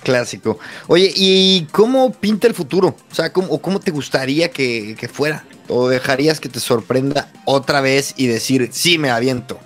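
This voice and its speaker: A man hosts a casual interview podcast and asks his questions haltingly, restarting and rephrasing in mid-sentence. His voice is medium in pitch, with faint music in the background. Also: fairly bright tone.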